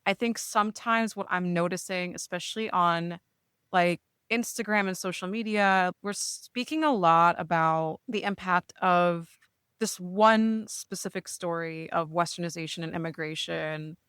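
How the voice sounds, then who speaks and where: A woman's voice: lowish voice, measured pace, fairly bright tone, smooth, no room sound, studio quality. A woman, a therapist speaking reflectively in a casual podcast chat, often checking in with "right?". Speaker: therapist, guest.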